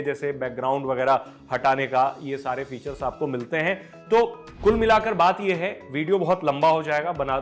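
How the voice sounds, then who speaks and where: A man with an Indian accent presenting tech news as an informal, unscripted explainer, mixing English words into his speech. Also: fairly high pitch. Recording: music playing behind the voice. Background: background music.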